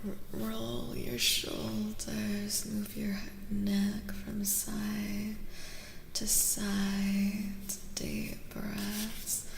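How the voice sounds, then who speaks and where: A woman streamer speaking in soft, soothing ASMR, drifting between a breathy whisper and a hushed voice, close to the microphone. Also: mid-range voice, completely flat delivery, very slow, roomy sound, background noise. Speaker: streamer hosting a live tarot reading.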